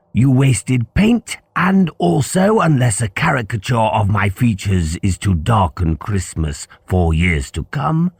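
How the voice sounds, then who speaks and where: A man voicing a stern older school principal in a formal, pompous, deliberate lecturing manner for an audiobook. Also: fairly low pitch, vocal fry, darkish tone, slightly rough, dry recording, voice pushed up, heavily acted.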